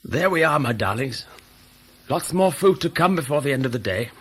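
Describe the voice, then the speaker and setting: Man doing raspy, gleeful, doting feeder. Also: mid-range voice, lively intonation, normal speed. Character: gleeful feeder doting on his hungry darlings. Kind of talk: audio drama acting.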